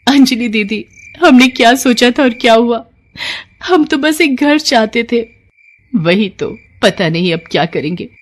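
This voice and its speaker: A woman voicing earnest, wronged young sisters in an animated moral story, speaking with open emotion. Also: a little high, unhurried, pitched above the performer's own voice. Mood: plaintive.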